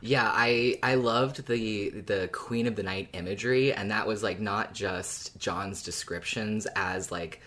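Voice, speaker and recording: A woman's voice: very deep voice, measured pace, hardly any pauses, fairly bright tone. A woman co-hosting a podcast, talking informally and enthusiastically as a fan about a story. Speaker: podcast co-host. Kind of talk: casual fan discussion of an audio drama.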